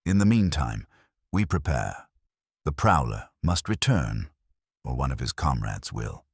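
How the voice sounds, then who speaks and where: Man voicing a very deep, imperious older detective. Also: a little slow, gravelly, breathy edge, no room sound, clean studio sound, exaggerated voice. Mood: grave, commanding. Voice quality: heavy, weighty. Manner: formal, flat, commanding pronouncements. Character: stern, calculating older male detective genius, imperious and unhurried.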